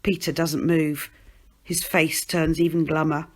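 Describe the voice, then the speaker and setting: A woman reading a children's novel aloud as scripted narration, in an expressive storytelling manner. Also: low-pitched, unhurried. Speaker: storybook reader.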